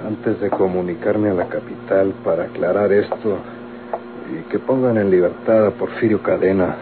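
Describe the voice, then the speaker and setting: Stern Mexican prosecutor, noisy old radio. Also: male voice, low voice. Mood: pensive. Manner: formal, authoritative legal phrasing. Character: stern, officious male public prosecutor. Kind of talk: Mexican radio soap opera acting. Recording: tinny old radio recording, clearly noisy.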